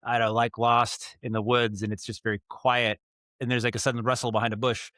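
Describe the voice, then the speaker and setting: A man with a middle-range voice talks as a guest in a casual interview. He explains things thoughtfully and haltingly, often restarting to correct himself. The sound is dry, with very little room on it. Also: mid-range voice, flat delivery, pushed and bright, dry recording. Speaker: guest, audio drama writer and director. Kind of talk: casual podcast interview talk.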